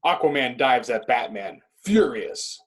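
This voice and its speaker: A man with a husky voice reading stage directions as dramatic scripted narration. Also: medium pitch, very animated, unhurried.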